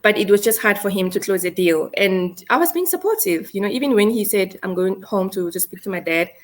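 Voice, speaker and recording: A woman in her thirties with a South African accent, telling a painful personal story in a halting, hesitant way. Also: mid-range voice, expressive. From South Africa, North West. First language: Setswana. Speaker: guest. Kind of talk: emotional personal account.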